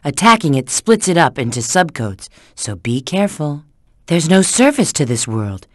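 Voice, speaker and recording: A woman's voice: low-pitched, very animated, normal speed, no echo, clean studio sound. A woman voicing a sly, flirtatious, confident treasure-hunting agent in a video game, giving hints and callouts with teasing assurance. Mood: instructive, confident.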